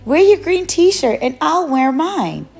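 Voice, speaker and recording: A woman voicing exuberant, playful city children calling out to each other in a storybook, loud and emphatic. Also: sits high, big pitch swings, takes it slowly, creaky, faint music behind, voice pushed up, full character voice.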